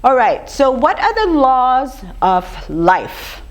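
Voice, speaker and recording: Older woman lecturing with a slightly rough voice. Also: sits high, sing-song, takes it slowly. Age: 50s or older. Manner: conversational, rhetorical questions to the audience. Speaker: speaker giving a lecture on raw food. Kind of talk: informal public lecture with audience questions.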